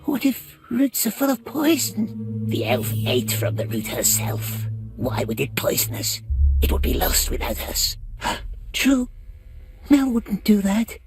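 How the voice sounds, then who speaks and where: A man voicing a wretched, sly cave creature in a video game, muttering to himself in a strained, raspy voice. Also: high voice, huge pitch swings, unhurried, music in the background, full character voice. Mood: suspicious, self-arguing. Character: wretched, sly, split-minded cave creature, fawning and suspicious. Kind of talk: video game character acting.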